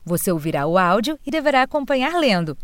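A woman briskly reading course instructions in a lively announcing manner. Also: medium pitch, no echo, clean studio sound. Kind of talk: scripted instructions.

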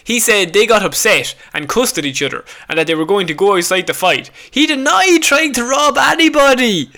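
A man's voice: high voice, expressive, normal speed, sharp tone. A man co-hosting a comedy podcast, reading out a weird news story in a jokey, mock-dramatic way amid casual banter. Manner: jokey, mock-dramatic retelling with acted quotes.

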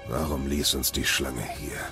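An actor playing a stern, huge warrior god and father in an audio drama, speaking in terse, gruff commands. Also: very deep voice, level tone, a little slow. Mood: grim, wary. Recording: loud music behind the voice.

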